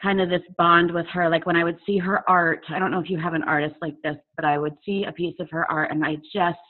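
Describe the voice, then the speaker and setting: A woman's voice: on the low side, fairly even intonation. Tinny-sounding woman in her forties, reflective. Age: 40s. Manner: candid, reflective, halting with fillers. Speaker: poet and author guest. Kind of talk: video call interview. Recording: tinny phone-like audio over a video call.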